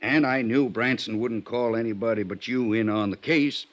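A man's voice: medium pitch, normal speed, highs cut off, close to an everyday voice. An American actor playing a breezy, self-assured male private eye in a 1950s radio drama, brash and bantering. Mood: smug, confident.

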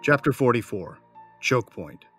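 A man acting a gruff, devoted young warrior, a Sith's son, with blunt delivery in an audio drama. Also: medium pitch, big pitch swings, a little slow, fairly bright tone, soft background music. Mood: firm, tender. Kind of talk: audio drama dialogue and narration.

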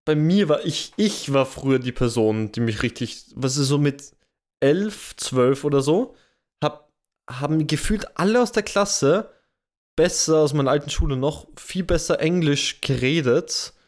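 A man's voice: on the high side, animated, measured pace. A young man with an Austrian German accent co-hosting a podcast, chatting casually, self-deprecating and rambling with restarts. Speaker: podcast co-host. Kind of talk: casual chat.

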